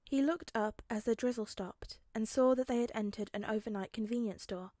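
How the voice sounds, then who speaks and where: A woman's voice: on the high side, fairly quick, warm tone, no room sound, clean studio sound. A woman reading a story aloud as scripted audiobook narration. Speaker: audiobook reader.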